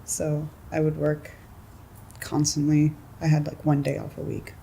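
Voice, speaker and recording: Low-voiced young woman, easygoing chat. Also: measured pace, creaky, slight background noise, rough recording. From California. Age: 20s. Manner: relaxed, giggly, lots of "like" and "um" fillers. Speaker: interviewee. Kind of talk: casual interview.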